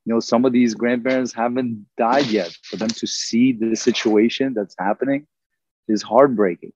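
A man's voice: mid-range voice, steady tone, moderate pace, darkish tone, dull audio, a little hiss or hum. A middle-aged man from Ukraine, a native Russian speaker, talking earnestly as an interview guest and rambling with lots of "you know" fillers. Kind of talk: casual interview conversation.

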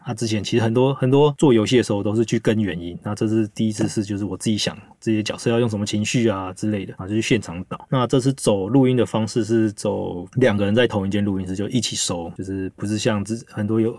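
Low-voiced, fast-talking man hosting. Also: a little mellow, no echo. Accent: Taiwanese Mandarin. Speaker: podcast host and audio drama director. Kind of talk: casual chat about producing an audio drama.

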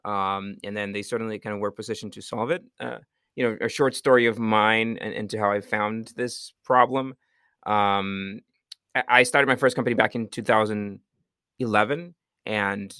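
A man's voice: lowish voice, bright, forceful tone, smooth, no room sound, clean studio sound. A man from Costa Rica, a startup founder, answering interview questions in a rambling, self-correcting way and laughing often.

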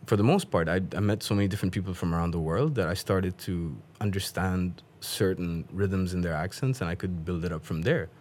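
A man's voice: deep voice, a little fast, hardly any pauses. A man whose first language is Dari, a guest in a casual chat, talking with animation and frequent laughter. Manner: animated, laughs often, slips into put-on voices.

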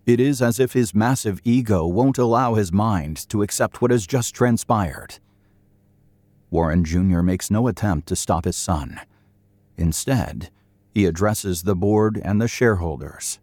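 Male storyteller for scripted drama narration. Lowish register, deliberate phrasing, very dry recording. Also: mellow, dry recording.

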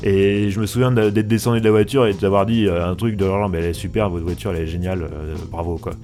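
A man's voice: low voice, steady tone, quick pace, warm tone, soft background music. A man in his forties, a car journalist hosting a podcast, speaking eagerly and often stumbling over his words. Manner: enthusiastic, stammers and restarts a lot. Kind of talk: podcast interview.

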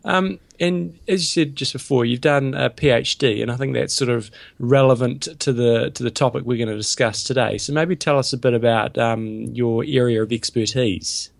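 A man co-hosting a podcast talks in a relaxed, gravelly voice. His recording is dry and close, with no room sound. He chats casually and reads a sponsor spot. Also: medium pitch, normal speed, few pauses, dry recording. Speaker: podcast co-host. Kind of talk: casual chat and sponsor read.

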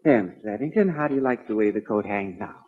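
A man's voice: lowish voice, animated, fast talker, slightly rough. A man playing a flustered, deferential tailor in a 1940s radio drama, with a fussy manner. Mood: apologetic, deferential. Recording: tinny, narrow-band 1940s radio recording.

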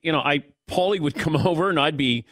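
A middle-aged man hosting a sports talk radio show, chatting with callers and crew in a relaxed, self-deprecating way. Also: on the high side, brisk, no echo, clean studio sound.

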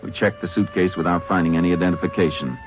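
An actor playing a steady, laconic Texas Ranger investigator in a 1950s radio drama. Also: male voice, over music, natural voice. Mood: matter-of-fact narrating. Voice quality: very deep, gravelly. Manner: laconic, folksy, measured. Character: steady male Texas Ranger investigator, gentle with children, grimly weary. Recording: dull, noisy old radio recording.

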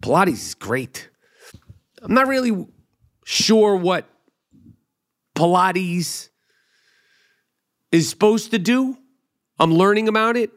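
A man with a notably high voice talks through a loose comedic monologue as a podcast host. He rambles, swears freely and pokes fun at himself. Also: expressive, takes it slowly, pauses often, a bit bright. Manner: rambling, profane, self-mocking.

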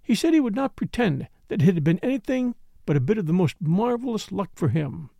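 A man voicing a reserved, polite young woman with a measured, quiet delivery, in an audiobook. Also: high voice, very animated, vocal fry, no echo, studio quality, heavily acted. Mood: reflective.

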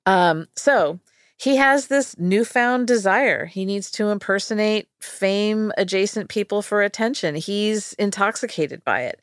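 A woman's voice: mid-range voice, expressive, a little slow, dry recording, studio quality. A woman hosting a podcast, telling a story in casual chat with playful asides. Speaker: podcast host.

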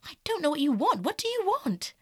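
Female reader plays a vain young aristocrat: airy, callous, highly animated, theatrical, recorded dry. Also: a little fast, no room sound. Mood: exasperated. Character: vain, callous young aristocratic man, dramatic and self-absorbed. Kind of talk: audiobook character acting.

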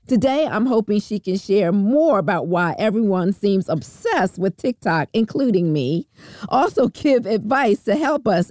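Older woman, podcast host, lively high voice, self-deprecating, quick to laugh, dry close recording. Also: dry recording. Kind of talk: casual interview chat.

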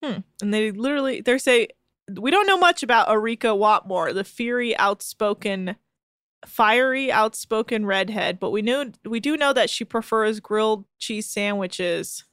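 A woman's voice: fairly high pitch, moderate pace, a bit bright, smooth, no room sound, studio quality. A woman co-hosting a casual podcast chat, reading posts aloud with amused disbelief. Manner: amused, incredulous, laughing. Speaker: podcast co-host.

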